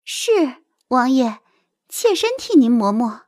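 An actress playing a haughty, whiny, scheming young royal concubine in an audio drama, with an indignant, plaintive manner. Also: female voice, on the high side, sing-song, slow pace, darkish tone, no room sound, studio quality. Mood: sweetly cutting.

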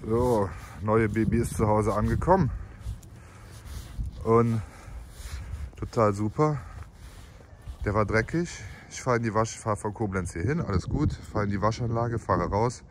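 A man in his forties with a cold, talking casually and excitably into a phone camera held at arm's length. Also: lowish voice, measured pace, pauses often, a little hiss or hum. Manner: chatty, excitable, swears casually. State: has a cold. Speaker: vlogger. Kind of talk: casual vlog. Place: living room, then car park and car interior.

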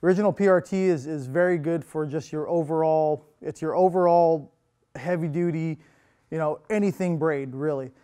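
A young man hosting a casual product rundown in a tackle shop, relaxed and loose with plenty of filler words. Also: moderate pace, clear, resonant tone. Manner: relaxed, lots of fillers, upbeat pitches. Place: indoor tackle shop with lure wall.

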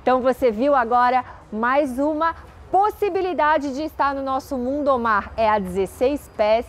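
Brazilian female presenter, thirties, slightly high voice, casual and direct to viewer, light location noise behind. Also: normal speed. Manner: conversational, addresses viewer directly with questions. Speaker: boat show presenter. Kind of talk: casual on-camera product presentation. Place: outdoor boat exhibition at night. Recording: clear background noise, outdoor ambience.

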